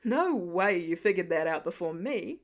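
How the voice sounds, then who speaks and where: A woman's voice: on the low side, animated, moderate pace, pitched below the performer's own voice. A woman voicing a family dad in a story, with wry, playful banter. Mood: indignant. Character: teasing, mock-indignant family dad.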